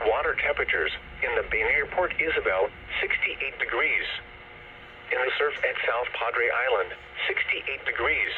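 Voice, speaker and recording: A male weather radio announcer comes through a narrow, tinny radio signal with light noise. His voice is mid-pitched and synthetic, reading forecasts and data in a formal, measured, automated way. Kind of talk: scripted marine forecast and weather report reading. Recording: noisy, tinny, band-limited weather radio broadcast.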